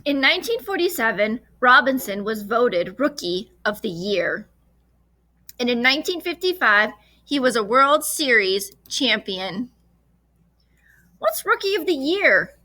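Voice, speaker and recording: Woman, children's read-aloud, lively intonation, fairly high pitch, expressive storytelling. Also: a little slow, no echo. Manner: animated character dialogue between plain narration. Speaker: reader reading a children's book aloud.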